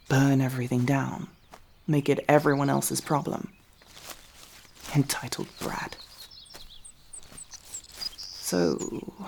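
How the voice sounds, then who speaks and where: A woman's voice: low voice, creaky, darkish tone, a little hiss or hum, heavily acted. A woman playing a wry, loyal elf rogue in an intimate ASMR fantasy roleplay, with a casual, teasing, dryly supportive way of talking. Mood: dry, irritated.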